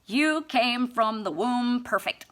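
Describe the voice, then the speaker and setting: A woman with a fairly high, bright and forceful voice reads a book aloud at an unhurried pace. Her delivery is theatrical and emphatic, laced with mock-earnest sarcasm. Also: expressive. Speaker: amateur audiobook reader. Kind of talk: expressive scripted book reading.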